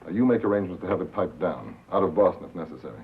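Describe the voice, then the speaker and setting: An actor playing a wry, worldly, cynical radio reporter with a terse, dry delivery in a 1950s film drama. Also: male voice, low voice, lively intonation, racing, creaky, breathy edge, exaggerated voice. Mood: businesslike, polite. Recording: narrow-band, hissy 1950s film soundtrack.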